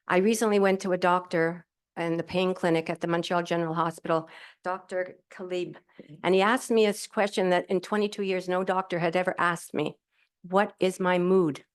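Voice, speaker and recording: A woman's voice: lowish voice, steady tone, normal speed, clean tone. A middle-aged woman telling her own story as a panel guest at a live event, cheerful, candid and rambling, speaking into a handheld microphone. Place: event hall with audience.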